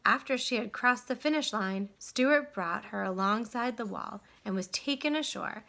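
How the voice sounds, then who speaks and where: A woman reading a children's story aloud in an expressive, dramatic way with deliberate phrasing. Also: fairly high pitch, vocal fry. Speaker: storybook reader.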